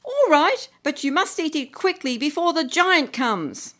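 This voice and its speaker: The giant's wife, read by a woman, brisk and dismissive, pitched high with a lively lift. She talks at an unhurried pace, plainly as storybook dialogue from the reader's own voice. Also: heavily acted.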